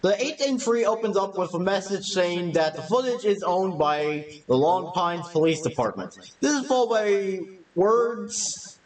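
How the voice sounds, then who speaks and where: A man's voice: high voice, lively intonation, measured pace, distant mic, low-quality recording. A man reading scripted narration for a video essay.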